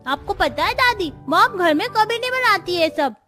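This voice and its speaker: A woman's voice: extremely high pitch, expressive, normal speed, sharp tone, soft background music, pitched above the performer's own voice, heavily acted. A woman voicing an eager, outspoken little boy in a cartoon, with a childlike complaining manner.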